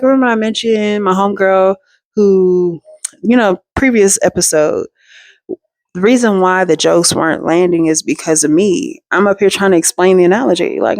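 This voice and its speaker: A woman hosting her own storytime show, telling a personal story in a chatty, slangy and animated way, full of asides to her viewers. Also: mid-range voice, dry recording. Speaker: storytime host.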